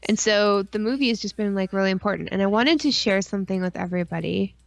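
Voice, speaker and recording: A woman co-hosting a stream in casual, rambling fan chat, excitable and full of slang and swearing. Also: mid-range voice, fast talker, gravelly, dry recording. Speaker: podcast and stream co-host.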